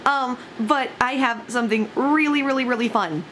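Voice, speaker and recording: A woman's voice: on the high side, moderate pace, a bit bright. A young woman vlogging from home, her voice scratchy from just waking up, rambling casually with lots of giggles. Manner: giggly, rambling, frequent laughs and swearing. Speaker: YouTuber. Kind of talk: casual vlog chat.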